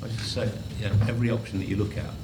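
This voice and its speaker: A man's voice: on the low side, steady tone, rapid-fire, creaky. A man advising a council committee on finances in an analytical, plain-spoken way. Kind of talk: formal committee meeting speech. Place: council meeting room. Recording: roomy chamber with loud background noise.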